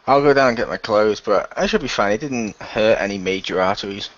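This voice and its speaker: Man playing a low-voiced, stoic teammate. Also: a little fast, highs cut off, close to an everyday voice. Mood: dismissive. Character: Jacob, stoic wounded male teammate, dismissive. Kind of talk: amateur improvised radio play.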